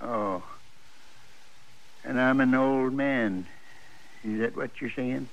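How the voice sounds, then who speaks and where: An older-sounding actor playing a wistful, proud old gunfighter in a 1950s radio western drama. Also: male voice, mid-range voice, takes it slowly. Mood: hurt. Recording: dull, slightly noisy old radio recording.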